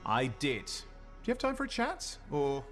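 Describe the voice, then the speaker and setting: A man's voice: fairly high pitch, wildly swooping pitch, normal speed, a bit bright, soft background music. An actor playing a cheeky, playful young man in a video game, with a teasing, flippant manner that covers old hurt. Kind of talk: video game cutscene acting.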